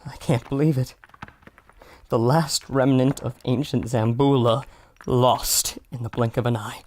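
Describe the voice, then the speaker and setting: A man's voice: mid-range voice, fairly even intonation, measured pace, a little mellow, natural voice. A man playing an anxious, loyal adventurer in an audio drama, earnest and subdued. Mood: stunned. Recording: noisy battle ambience behind.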